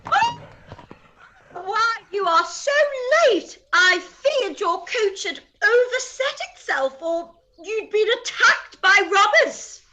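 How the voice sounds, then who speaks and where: A woman's voice: very high-pitched, very animated, slow pace, bright, forceful tone, full character voice, low-quality recording. A woman playing a fretful, self-pitying middle-aged mother in a period radio play, complaining and exclaiming melodramatically. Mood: fussing complaint.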